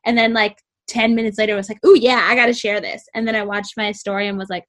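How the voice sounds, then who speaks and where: Woman, young adult, sweet squeaky voice, casual music chat, lots of "like", mid pitch. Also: fairly even intonation, fast talker. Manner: chatty, peppered with "like". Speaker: co-host reviewing music.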